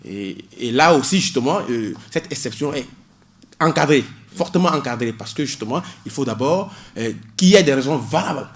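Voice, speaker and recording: Hesitant male law student, plain pitch. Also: animated, normal speed. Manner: hesitant, many fillers, cites legal articles. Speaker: guest, doctoral student in public law. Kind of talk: radio interview explanation.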